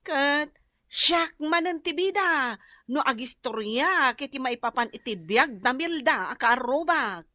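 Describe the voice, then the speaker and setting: A woman playing a lively, whining, gossipy character in an Ilocano radio drama. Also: high voice, unhurried, no echo, clean studio sound, exaggerated voice.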